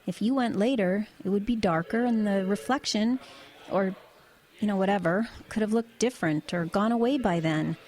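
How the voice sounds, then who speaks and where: A woman's voice: mid-range voice, moderate pace, a little mellow, natural voice. A woman playing a level-headed truck driver in an eerie audio drama, talking in an everyday conversational way. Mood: casual, evasive. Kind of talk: audio drama dialogue and narration.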